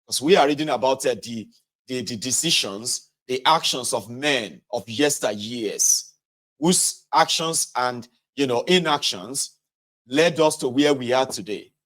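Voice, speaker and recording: A man with a Nigerian accent and a mid-pitched voice narrates from a book as a host. He reads in a conversational way and turns to address his listeners directly, correcting himself where he stumbles. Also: a little slow, fairly bright tone. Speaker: host reading a book aloud with commentary. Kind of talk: read-aloud narration with casual asides.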